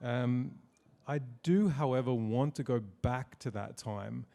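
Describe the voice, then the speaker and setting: A man's voice: medium pitch, slow, creaky, warm tone. A man hosting an interview, asking questions in a wry, teasing way with many hesitations. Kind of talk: casual interview talk.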